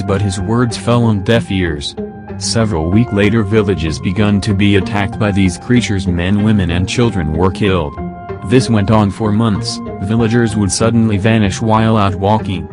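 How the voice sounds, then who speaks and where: A computerized male voice narrating a scripted legend in a measured, formal storytelling way. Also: lowish voice. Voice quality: computerized synthetic voice. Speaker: host and narrator.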